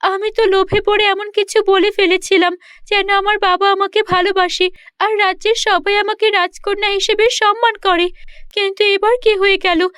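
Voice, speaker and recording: A woman's voice: very high voice, level tone, moderate pace, a bit bright, no echo, clean studio sound, voice pushed up. A woman voicing a naive, tender-hearted young princess in a children's cartoon, with an earnest, pleading manner. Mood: distressed, pleading. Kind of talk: children's cartoon story dialogue.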